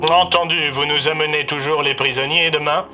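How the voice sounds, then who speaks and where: A man's voice: on the high side, fairly even intonation, brisk. A man playing a polite, procedural military radio operator in an audio fiction, heard through a radio filter. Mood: polite, businesslike. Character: businesslike military radio operator, secretly a villain's soldier. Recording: muffled radio-style sound, faint music.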